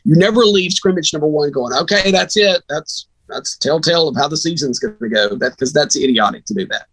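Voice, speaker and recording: A male sports broadcaster with a bright, forceful, somewhat raspy voice. He chats through team analysis in a conversational way, slipping into acted-out quotes as he tells stories. The sound is close and dry. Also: a little high, fairly quick, no echo, low-quality recording. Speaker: radio sports broadcaster, guest.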